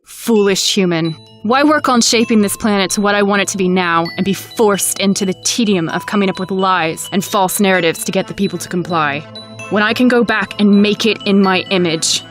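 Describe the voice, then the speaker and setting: A woman's voice: mid-range voice, fairly quick, soft background music, close to an everyday voice. A woman playing a gloating tyrant president in an audio drama, grandiose and menacing, speaking as a cold megalomaniac villain. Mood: cold contempt.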